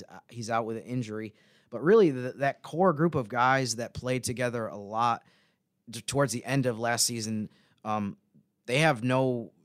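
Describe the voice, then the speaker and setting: A man hosts a sports podcast in a casual, measured, wordy style. His voice is mid-pitched and smooth, recorded close and dry with no room sound. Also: dry recording.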